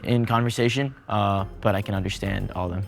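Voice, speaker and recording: A man on a reality show calmly listing what he can speak. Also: fairly low pitch, fairly quick. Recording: show clip with clear background music.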